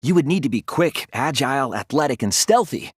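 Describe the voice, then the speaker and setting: A man voicing an earnest young male student detective in a video game, explaining his deductions in a deliberate, thoughtful way. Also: mid-range voice, lively intonation, husky, dry recording, studio quality. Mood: measured reasoning. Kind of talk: scripted video game voice acting, deduction speeches.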